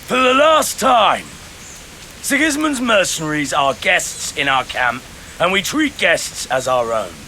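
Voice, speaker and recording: An actor playing a stern medieval war-camp leader in a video game, judging with deliberate authority. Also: male voice, on the high side, very animated, sharp tone, a little hiss or hum, exaggerated voice. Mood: exasperated. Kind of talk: video game dialogue acting.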